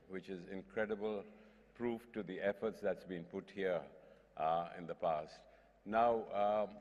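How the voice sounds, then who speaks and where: An older man addresses a conference formally. His voice is fairly low, and the sound is dull with long hall reverb. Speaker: advisory board chairman. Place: large conference hall, at podium. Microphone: podium gooseneck microphone.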